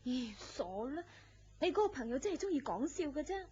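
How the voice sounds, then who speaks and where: A woman with a Hong Kong Cantonese accent playing a gentle, caring young sweetheart in a radio drama. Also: sits high, lively intonation, a little fast. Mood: playful, uneasy. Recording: dull lo-fi radio drama audio.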